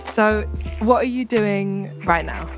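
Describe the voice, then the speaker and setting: A woman's voice: mid-range voice, slow. A woman hosts a podcast, asking her questions in a friendly, encouraging manner. Faint music sits underneath her voice. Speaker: podcast host. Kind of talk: interviewing.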